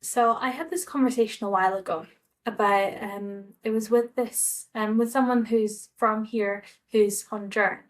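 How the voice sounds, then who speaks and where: A woman's voice: mid-range voice, steady tone, moderate pace. A young Scottish woman talking casually to camera in a vlog, hesitant and full of fillers and restarts. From Scotland. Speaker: vlogger.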